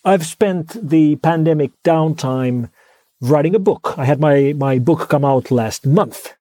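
Interview guest: Finnish-accented man, mellow tone, dry wit, dry close sound. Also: a little high, unhurried, no room sound. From Finland. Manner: dry humor, frequent word repeats. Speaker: guest author. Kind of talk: conversational interview.

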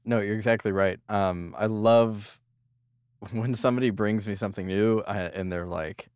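A man's voice: fairly low pitch, steady tone, normal speed, a little mellow, clear, resonant tone, dry recording, clean studio sound. A man talking as a guest on a podcast about his work as a recording engineer, earnest and blunt about the craft. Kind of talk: casual podcast chat.

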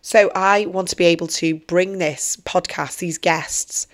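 Hoarse woman in her forties, earnest and reflective, lowish voice, podcast host. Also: fairly even intonation, a little slow. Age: 40s. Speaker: podcast host and wellbeing coach. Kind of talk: solo podcast trailer monologue.

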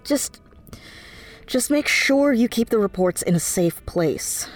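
A woman's voice: fairly high pitch, lively intonation, slow, soft background music. A woman playing a casual, playful, introverted friend in a naturalistic audio drama, teasing and informal.

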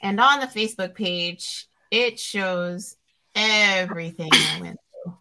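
A woman hosting a podcast interview, talking in an earnest, reflective way, pausing with frequent fillers. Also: on the low side, sharp tone. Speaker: podcast host.

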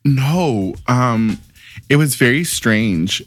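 A man's voice: medium pitch, drawn-out words, soft background music. A man co-hosting a casual podcast, telling stories in a campy, profane way and calling people girl. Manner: animated, campy, swears, calls people girl. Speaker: podcast co-host. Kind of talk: casual storytelling chat.

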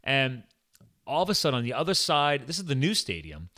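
A man in his forties with a Philadelphia-area American accent, hosting a casual podcast chat in an informal, animated way. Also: mid-range voice, a little fast. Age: 40s. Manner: animated, informal, peppered with "like" and "guys". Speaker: podcast host. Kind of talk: casual conversational podcast interview.

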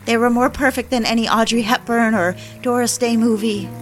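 A woman's voice: on the high side, steady tone, fairly quick, close to an everyday voice. An actress playing a wistful, obsessive young newlywed who confides her story in genteel, literary dramatic narration. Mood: wistful, confiding. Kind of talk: first-person dramatic narration for an audio drama. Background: background music.

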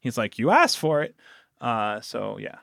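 A man's voice: medium pitch, very animated, unhurried, gravelly, bright, forceful tone, no echo, studio quality. A man speaking as a producer and guest in a conversational podcast interview, earnest and emphatic as he explains and recounts. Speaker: audio drama producer and guest.

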